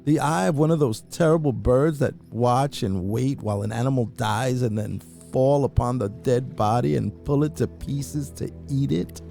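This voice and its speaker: Gravelly, dark-voiced man reading horror. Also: medium pitch, normal speed, hardly any pauses, faint music behind. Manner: intense, brooding delivery with sudden hushed drops and rising outbursts. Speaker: reader. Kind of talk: dramatic reading of a classic horror story.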